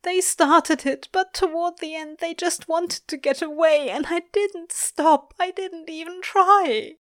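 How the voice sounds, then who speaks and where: An innocent, anguished male angel from a storybook, performed by a woman. The voice sits high and plaintive, drawing words out slowly in pleading tones. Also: very animated, dry recording, studio quality, heavily acted. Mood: sobbing, guilty. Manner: moaning, drawn-out pleading.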